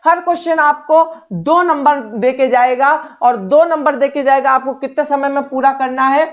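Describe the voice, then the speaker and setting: The recording is a little dull and lo-fi. A woman speaks in a clearly high-pitched voice. She teaches in a direct, explanatory style, addressing students as an exam coach. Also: moderate pace. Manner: direct, instructional, repeats check phrases. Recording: dull, lo-fi sound.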